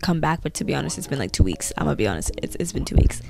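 Gravelly-voiced young woman with a cold. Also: rumbling low, very fast, background noise. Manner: chatty, animated, gesturing with her hands. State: has a cold. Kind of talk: casual faith chat to camera. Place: small bedroom at night. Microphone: handheld mic.

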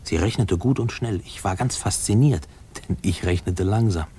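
An actor playing a man who recalls his fearful boyhood, narrating a 1950s German radio play in a hushed, halting, husky voice. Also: deep voice, slight background noise, close to an everyday voice. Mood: reflective, uneasy.

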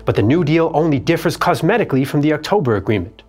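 A man's voice: on the high side, animated, fast talker, a little mellow, soft background music. A man in his thirties, host of a history channel, delivering scripted documentary narration in a studio. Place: dark studio set.

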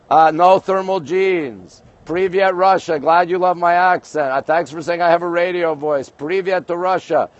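A man from Montreal, Canada hosting a casual livestream from a high-rise balcony at night, greeting viewers by place and reading comments aloud. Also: unhurried, muffled recording. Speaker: livestream host. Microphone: phone camera held by speaker.

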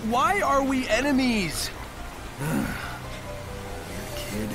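A man's voice: very high-pitched, wildly swooping pitch, very slow, exaggerated voice. An actor playing a cocky, easygoing young male hedgehog hero in a video game, with a breezy, teasing manner. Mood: curious, friendly. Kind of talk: video game cutscene acting. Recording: loud music behind. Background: loud game soundtrack.

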